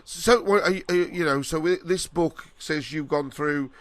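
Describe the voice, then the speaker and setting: A man's voice: a little high, normal speed, fairly bright tone. A man hosting a casual podcast interview, sounding congested from a cold, chatty and joking with lots of ums and restarts. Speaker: podcast host interviewing an author.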